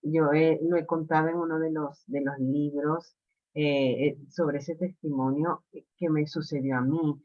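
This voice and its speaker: A woman from Venezuela with a Venezuelan Spanish accent, telling a personal story in relaxed conversation. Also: deep voice, unhurried. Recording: slightly dull lo-fi audio.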